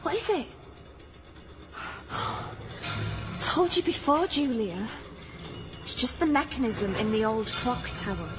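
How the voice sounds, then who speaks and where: A woman playing a brisk, insistent, teasing friend in a naturalistic radio drama. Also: fairly high pitch, very animated, music in the background.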